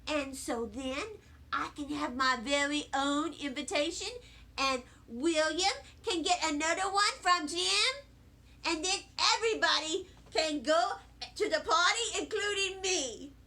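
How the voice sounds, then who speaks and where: A woman voicing a feisty, impish kindergarten girl in an expressive children's storybook reading, with emphatic, childlike phrasing. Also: high voice, unhurried. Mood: scheming. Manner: childlike, emphatic, dramatic phrasing with kid grammar.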